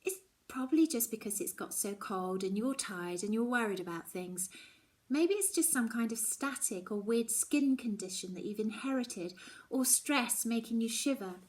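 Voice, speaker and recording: A woman voicing a determined, caring but sceptical schoolgirl best friend in a children's audiobook, with a brisk, reassuring manner. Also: a little high, lively intonation, lightly acted. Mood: reassuring, practical. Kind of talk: children's audiobook character dialogue.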